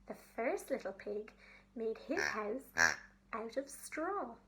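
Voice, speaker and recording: A woman storyteller with a high voice, speaking slowly to young children. She keeps her phrases simple and leaves pauses for the listeners. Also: lively intonation, fairly bright tone.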